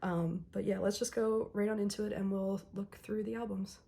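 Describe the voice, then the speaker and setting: A young woman's hoarse, slightly worn voice fills this casual unboxing chat. She talks to her viewers in a loose, friendly way, filling her pauses with ums. Her excitement keeps showing through her scratchy throat. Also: mid-range voice. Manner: chatty, many "um"s, excited. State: hoarse, recovering from illness. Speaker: K-pop album unboxing vlogger.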